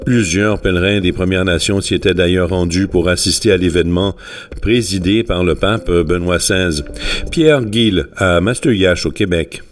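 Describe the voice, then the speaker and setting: A man presenting a news item on the radio with a smooth, steady delivery. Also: deep voice, normal speed. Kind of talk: news reading.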